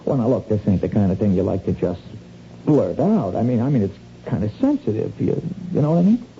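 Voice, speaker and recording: An actor playing a sly small-time crook in a radio drama, wheedling and insinuating in folksy, slangy talk. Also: male voice, lowish voice, big pitch swings, fairly quick. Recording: dull, noisy lo-fi radio recording.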